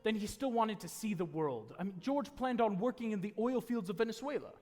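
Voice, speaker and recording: An actor playing a calm, kindly narrator in an old-time radio play. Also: male voice, sits high, a little fast, slight background noise. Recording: echoey hall with music behind.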